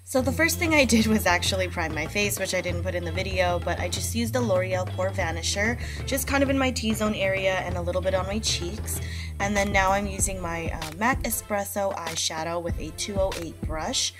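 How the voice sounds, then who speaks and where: A young woman with a stuffed-up nose from a cold, chatting casually as a beauty vlogger. Her voice sits mid-range, and her talk is loose and slangy, full of fillers. Soft music plays faintly behind her. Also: medium pitch, normal speed. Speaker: beauty vlogger and makeup artist. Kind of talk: casual chatty makeup tutorial.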